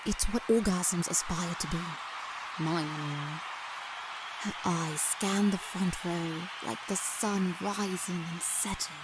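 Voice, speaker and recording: A woman's voice: fairly low pitch, steady tone, takes it slowly, very noisy. A woman playing a starstruck seventeen-year-old girl who narrates earnestly and dramatically in a fan-dubbed comic drama, with music playing. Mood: awed.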